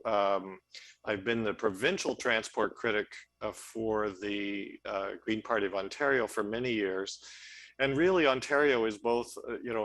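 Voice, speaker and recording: A man with a lowish voice speaks thoughtfully on a video call, sometimes halting. The sound is dry and close, with no room in it. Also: a bit bright, no room sound, low-quality recording. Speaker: party transportation critic. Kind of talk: roundtable discussion.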